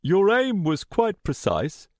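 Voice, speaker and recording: Man playing a smooth, calculating villain, lifted pitch. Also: big pitch swings, measured pace, a little mellow, no room sound, studio quality. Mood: sly tempting. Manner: formal, conversational, unruffled. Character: smooth, coolly calculating, powerful male villain.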